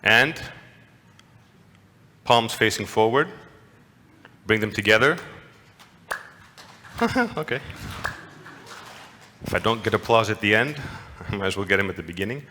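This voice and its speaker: A man's voice: on the low side, lively intonation, measured pace, long pauses, bright, forceful tone, a little hiss or hum. A man giving a playful, interactive lecture to an audience, often asking them questions. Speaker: conference lecturer. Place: large lecture hall. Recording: long hall reverb.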